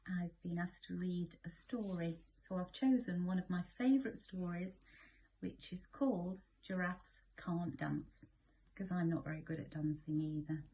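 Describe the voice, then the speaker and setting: A woman reading a children's bedtime story in a gentle, measured, expressive way. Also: on the low side, low-quality recording.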